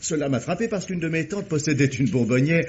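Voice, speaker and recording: A man acts a pedantic scholar in an old radio mystery, fussy and prone to stammering. His voice sits a bit high. The sound is muffled with slight hiss. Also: animated, brisk, a little mellow, close to an everyday voice. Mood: absorbed, lecturing. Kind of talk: vintage French radio mystery acting. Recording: dull, slightly noisy vintage radio.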